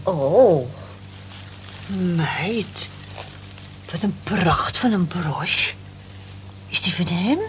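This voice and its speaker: A woman's voice: on the low side, expressive, very slow, long pauses. Nosy, swooping-voiced workmate woman. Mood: delighted, prodding. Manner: exclaiming, prodding. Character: Jess, nosy, romantic, encouraging female workmate. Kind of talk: Dutch radio drama acting. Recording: muffled, lightly noisy old radio recording.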